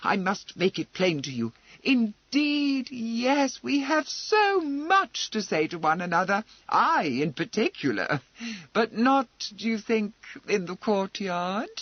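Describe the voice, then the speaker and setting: A man voicing a sulky, blustering young gentleman who speaks high and indignant. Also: very animated, slow pace, no room sound, dull audio, full character voice. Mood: agitated. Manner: blustering and indignant, rising pitch.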